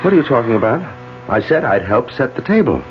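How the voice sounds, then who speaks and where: An actor playing an earnest, courteous middle-aged surgeon in a 1970s radio drama, explaining things in a measured way. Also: male voice, medium pitch, big pitch swings, music in the background, close to an everyday voice. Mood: concerned. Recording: muffled 1970s AM radio broadcast.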